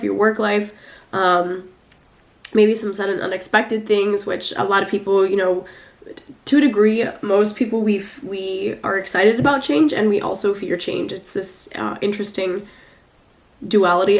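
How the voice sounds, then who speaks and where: A young woman with a nasal, congested voice from the flu, giving a casual weekly tarot reading in a chatty, rambling way. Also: medium pitch. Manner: chatty, rambling, many fillers. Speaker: tarot reader.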